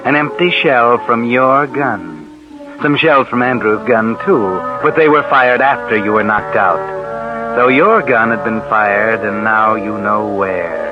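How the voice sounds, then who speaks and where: Ominous American narrator over loud music. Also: male voice, fairly low pitch, slow. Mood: ominous, needling. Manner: knowing, insinuating. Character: sardonic, ominous male narrator needling the suspect as his conscience. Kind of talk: 1940s radio suspense narration in second person. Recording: muffled old radio broadcast, loud music.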